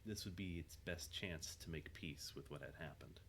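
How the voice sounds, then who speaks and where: A man's voice: rumbling low, moderate pace, heavy background noise. A man reading scripted, dramatic narration for an audio drama.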